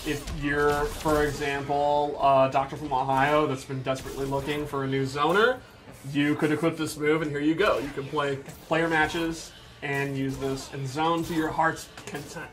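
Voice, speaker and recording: Man, higher-than-average pitch, casual stream commentary, enthusiastic, explanatory, developer showing gameplay. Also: little pitch movement, moderate pace, fairly bright tone, slight background noise. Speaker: game developer demonstrating a character.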